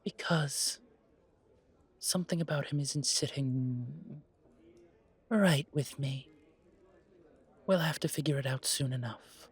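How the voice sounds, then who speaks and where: A pompous vampire gentleman, acted by a man, speaks slowly in formal, fussy phrases. His husky voice carries disdain and a protective streak, as in an audio roleplay drama. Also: mid-range voice. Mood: wary.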